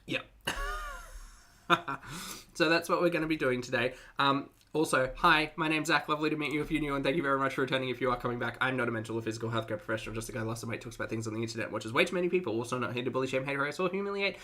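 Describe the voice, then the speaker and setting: A male livestream host with an Australian accent and a bright, crisp-edged tone at a middling pitch. He talks casually and playfully to his viewers, sprinkling in cutesy slang as he goes. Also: steady tone, racing.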